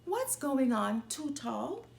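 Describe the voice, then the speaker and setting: Woman voicing an earnest, lively boy cub. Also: sits high, slow, creaky, roomy sound, slight background noise, pitched above the performer's own voice, heavily acted. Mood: curious. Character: brave, fair-minded young boy bear cub.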